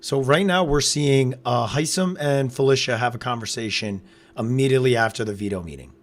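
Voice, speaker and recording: A man with a loud, raspy voice, hoarse after losing it, co-hosting a casual live watch-along and reacting to the chat. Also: medium pitch, brisk. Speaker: podcast co-host. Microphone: close podcast microphone.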